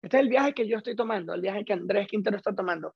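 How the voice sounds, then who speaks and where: A man's voice: high voice, fast talker, creaky, breathy edge, lo-fi sound. A man from Caracas with a Venezuelan accent, telling his story casually and emphatically as an interview guest. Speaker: interview guest, stage actor.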